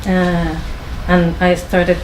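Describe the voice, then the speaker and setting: A woman from Chile with a Spanish accent, introducing her films to an audience in a small venue, with many pauses and restarts. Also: on the low side, monotone, roomy sound, background noise. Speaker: filmmaker introducing her screening. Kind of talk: informal talk to an audience.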